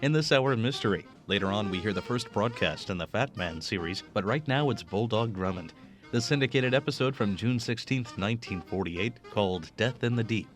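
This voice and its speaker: Low-voiced male radio host announcing vintage shows, faint music under him. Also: lively intonation, a little fast.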